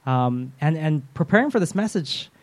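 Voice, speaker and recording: Earnest soft-spoken male pastor. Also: on the high side, lively intonation, normal speed. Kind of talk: sermon.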